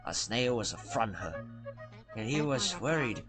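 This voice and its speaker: A man narrating an amateur cartoon dub like a storybook, in a deadpan manner. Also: mid-range voice, moderate pace, music in the background.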